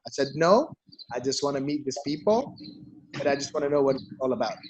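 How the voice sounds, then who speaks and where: A man's voice: mid-range voice, lively intonation, brisk, a little hiss or hum, rough recording. A middle-aged man from the Philippines, a company CEO, telling his personal story in an interview, emphatic and repeating phrases for stress. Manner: emphatic, repeats for stress, peppers talk with "you know" and "okay?".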